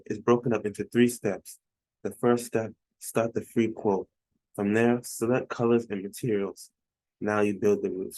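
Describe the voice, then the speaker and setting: Male tutorial presenter, soft-edged mellow timbre, instructional and direct, recorded close and dry. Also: mid-range voice, no room sound, low-quality recording. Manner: instructional, addressing the listener directly. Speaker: web consultant presenting a case study. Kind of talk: explanatory screen-share tutorial.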